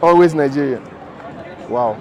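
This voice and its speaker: A man's voice: on the high side, a little slow. A Ghanaian man hosting a casual, teasing street interview. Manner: upbeat, teasing questions. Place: busy city street outdoors. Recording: loud street crowd and music.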